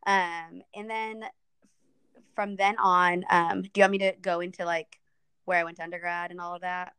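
A woman's voice: on the low side, level tone, moderate pace, a bit bright, smooth. A young woman from Ethiopia, a podcast guest chatting casually and thoughtfully, with frequent fillers.

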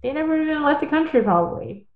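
A woman's voice: sits high, lively intonation, fast, crowd noise. A woman telling her own story in a casual interview, earnest and talkative, with tag questions and restarts. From born in the United States, partly raised in Taiwan. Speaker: guest. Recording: echoey, phone-like audio.

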